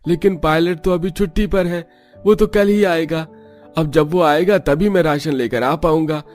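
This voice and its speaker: A man's voice: on the high side, normal speed, a little mellow, faint music behind, close to an everyday voice. A man voicing a humble, cheeky and helpful household servant in a cartoon story, deferential and explanatory. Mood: deferential, joking. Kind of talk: Hindi cartoon dubbing.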